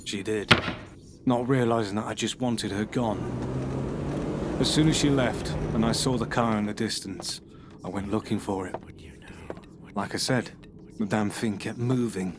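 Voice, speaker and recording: An actor playing a troubled man, giving a halting, brooding confession under questioning. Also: on the low side, steady tone, heavy background noise, natural voice. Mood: uneasy. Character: troubled middle-aged man, paranoid and bitter. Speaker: suspect being questioned.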